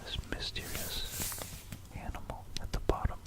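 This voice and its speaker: An ASMR host speaking in a breathy, unvoiced whisper, with a gentle, rambling, hesitant bedtime delivery in an informal style. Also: unhurried. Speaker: ASMR video creator and host.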